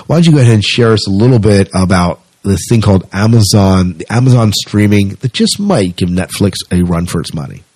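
A man's voice: on the low side, brisk, few pauses, darkish tone, breathy edge. A man co-hosting a podcast, chatting casually through entertainment news. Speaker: podcast co-host. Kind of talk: casual chat about sci-fi movie news.

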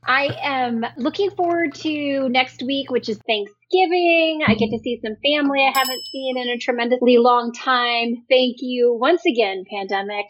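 High-voiced woman, slightly muffled recording. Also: normal speed, few pauses, fairly bright tone. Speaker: podcast co-host. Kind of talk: casual chat.

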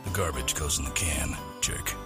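A man's voice: extremely low pitch, normal speed. A man narrating a scripted public-service ad in a scornful, sarcastic tone. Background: music.

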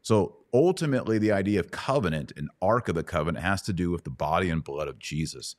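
A man's voice: sits low, expressive, quick pace. A middle-aged man hosting a live Bible Q&A, teaching conversationally and posing questions to check understanding. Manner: didactic, asks checking questions. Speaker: podcast host teaching the Bible.

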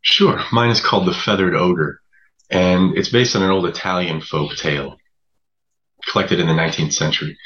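A man speaking as a guest writer in an interview, conversational and a little hesitant, pausing often. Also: sits low, a bit bright, dull audio, a little hiss or hum. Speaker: guest writer of audio drama.

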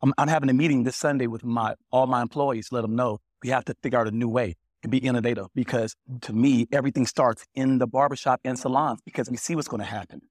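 A man's voice: mid-range voice, steady tone, fast, hardly any pauses, no echo, studio quality. A man who owns barbershops, speaking plainly on a panel discussion and telling stories from his shop. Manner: plainspoken, anecdotal. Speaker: barber and small business owner.

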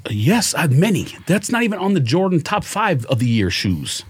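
A man's voice: fairly high pitch, big pitch swings, fairly quick, slightly rough. A man in a casual panel chat, bantering and defending his opinions in slangy, argumentative speech. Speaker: sneaker podcast panelist.

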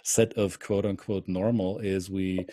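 A man's voice: deep voice, level tone, measured pace, dark tone. A man hosting an interview, asking loosely phrased, musing questions. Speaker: podcast host. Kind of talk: interviewing a guest.

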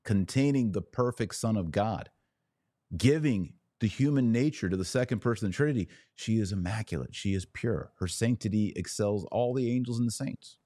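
A man hosting a podcast, giving opinionated religious commentary in an emphatic, declamatory manner with pauses between short phrases. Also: fairly low pitch, dark tone, no room sound, studio quality.

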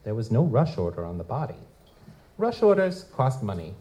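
Man acting a mellow, wisecracking noir goblin detective. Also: mid-range voice, sing-song, normal speed, lightly acted. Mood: wry, hard-boiled. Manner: wry, feigns hesitant politeness. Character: wisecracking male lutin goblin private investigator, narrating noir-style. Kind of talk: hard-boiled noir audio drama narration and dialogue. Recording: noisy live stage recording.

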